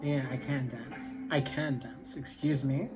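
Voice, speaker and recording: A man's voice: medium pitch, steady tone, measured pace, a little mellow, faint music behind, low-quality recording. A young man with a South African accent, chatting casually to camera in a rambling way full of fillers. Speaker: vlogger.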